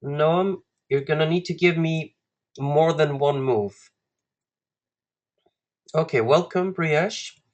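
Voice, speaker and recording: Slow, halting Icelandic man coaching. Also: fairly high pitch. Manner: halting, deliberate, with long pauses. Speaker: guest chess coach. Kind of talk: live online lesson, talking through puzzles to students.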